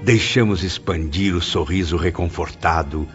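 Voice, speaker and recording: A man narrating an audiobook in a solemn, expressive scripted style. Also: deep voice, moderate pace. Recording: dull lo-fi audio with background music.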